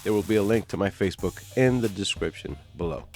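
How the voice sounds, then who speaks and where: A man hosting a true crime channel, giving a stern scripted narration while hoarse from a cold. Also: lowish voice, quick pace, a little mellow, faint music behind. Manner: stern, emphatic delivery.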